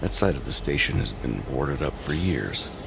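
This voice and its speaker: A man narrating a scripted first-person horror story in a slow, suspenseful, deliberate and dramatic way, with a gravelly voice. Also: lively intonation, noisy background. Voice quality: very deep, gravelly. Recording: loud ambient music bed under voice.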